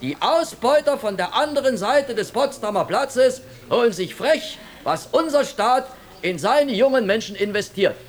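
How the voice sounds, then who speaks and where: A pompous indignant communist press official, high voice, speechifying in a radio play. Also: male voice, animated, measured pace. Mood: indignant, declamatory. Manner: declamatory, propagandistic. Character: pompous East German party press spokesman, indignant.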